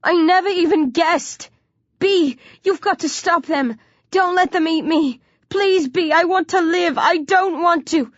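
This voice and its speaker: A woman's voice: extremely high pitch, a little slow, no room sound, highs cut off, pitched above the performer's own voice. A woman voicing a terrified teenage boy in an audiobook, wailing and pleading for his life. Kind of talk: audiobook character dialogue.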